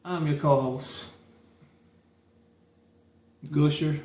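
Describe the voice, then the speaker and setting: A man from St. Louis hosting a casual podcast, talking in a relaxed, loose and conversational way. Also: on the high side, very slow. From St. Louis, Missouri. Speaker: podcast host. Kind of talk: casual chat. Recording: echoey room, set back from the mic, faint noise.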